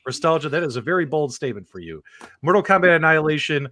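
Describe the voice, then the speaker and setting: Animated man hosting a casual panel show. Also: a little high, fast talker. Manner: emphatic, teasing, playful. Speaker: host of a video game movie show.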